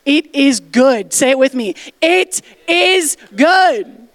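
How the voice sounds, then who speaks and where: A woman's voice: sits high, lively intonation, slow pace, no room sound. A woman pastor preaching a sermon, emphatic and direct, calling out to the congregation for responses and dropping casual asides. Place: church sanctuary.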